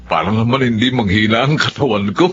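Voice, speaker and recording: An actor playing a calm, devout retired soldier and father in a Filipino radio drama. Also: medium pitch, fairly quick, close to an everyday voice. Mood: cheerful, teasing. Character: gentle, devout retired soldier father, middle-aged or older. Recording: muffled audio with faint music.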